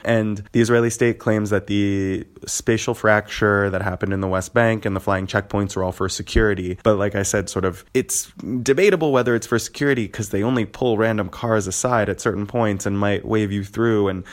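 A man's voice: lowish voice, steady tone, brisk, few pauses. A man, a podcast guest, explaining his points step by step in a casual interview.

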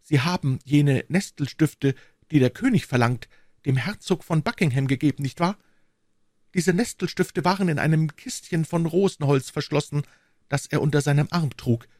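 Man voicing an earnest, higher-pitched young maidservant. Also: normal speed, darkish tone, no room sound, studio quality, lightly acted. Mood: fervent, loyal. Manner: earnest, pleading, reassuring. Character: devoted young woman servant, earnest, brave, eager.

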